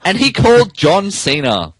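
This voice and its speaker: A man co-hosting a podcast, reading fan fiction aloud with deliberate mock seriousness. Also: sits high, wildly swooping pitch, no room sound. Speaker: podcast co-host narrating a story.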